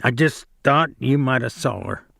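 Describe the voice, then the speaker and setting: A man's voice: mid-range voice, expressive, moderate pace, gravelly, fairly bright tone, no room sound, clean studio sound, full character voice. A man voicing a small, hot-tempered young man spoiling for a fight. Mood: belligerent. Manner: aggressive, belligerent.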